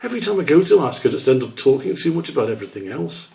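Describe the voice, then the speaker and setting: A man's voice: on the high side, sing-song, rapid-fire, creaky, a little mellow, pitched above the performer's own voice. A man voicing a curious, dreamy young male squirrel in a children's story, with a hesitant, questioning manner. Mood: wondering.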